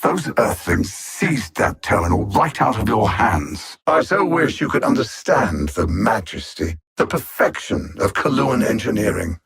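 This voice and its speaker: Alien android villain, husky, gravelly creak, haughty, mocking, theatrical, coldly intellectual, video game. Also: male voice, lowish voice, very animated, a bit bright, heavily acted. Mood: smug mockery. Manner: haughty, theatrical, deliberate, condescending.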